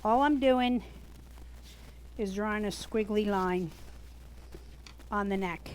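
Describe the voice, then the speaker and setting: A woman with a US East Coast accent teaching a gourd craft class live to a small group, talking loudly and chattily. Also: medium pitch, slow, a little hiss or hum, poor audio. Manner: loud, chatty, animated. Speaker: gourd art instructor. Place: workshop room with a small audience.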